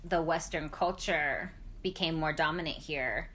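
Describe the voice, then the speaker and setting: A woman's voice: low-pitched, measured pace, a bit bright. A woman with an American accent hosting a casual educational discussion, encouraging others and prompting them with questions. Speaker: podcast host.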